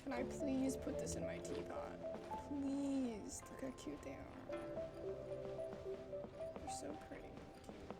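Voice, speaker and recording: Young female streamer, high lively voice, creaky, slangy casual game commentary, music behind. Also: slow pace, long pauses. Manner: informal, slangy, skeptical asides. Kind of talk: casual gameplay reaction commentary.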